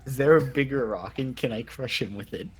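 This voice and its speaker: A man's voice: medium pitch, a little fast. A man chatting casually and playfully as a player in a tabletop role-playing game.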